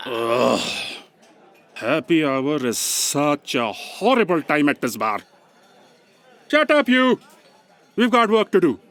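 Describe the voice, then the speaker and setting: A man's voice: a little high, sing-song, takes it slowly, pauses often, a bit bright, full character voice. A man playing a fussy, bossy, theatrical assistant in a comedic audio drama, exclaiming dramatically. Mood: exasperated.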